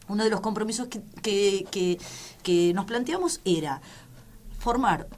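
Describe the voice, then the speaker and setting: A woman in her forties with a Rioplatense Argentine accent, a tango musician and teacher speaking as a radio interview guest, halting and often restarting her words. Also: medium pitch, darkish tone, a little hiss or hum. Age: 40s.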